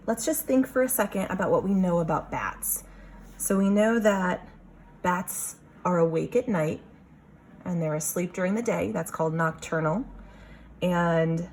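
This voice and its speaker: A woman with a fairly low voice reads to young children as their teacher. She takes her time and is expressive, stopping to ask questions and explain. There is noticeable background noise in the recording. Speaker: kindergarten teacher reading aloud. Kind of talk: children's picture book read-aloud with teacher asides.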